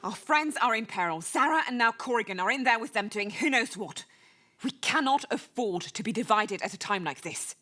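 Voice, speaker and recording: A woman's voice: fairly high pitch, lively intonation, moderate pace, sharp tone, faint music behind. A woman playing an earnest young heroine, an urgent and exasperated peacemaker in a comedic audio drama.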